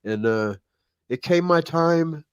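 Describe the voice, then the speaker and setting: A man telling stories in casual chat, self-deprecating and halting, with many restarts and fillers. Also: on the high side, animated, clean tone, no room sound, dull audio. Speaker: co-host.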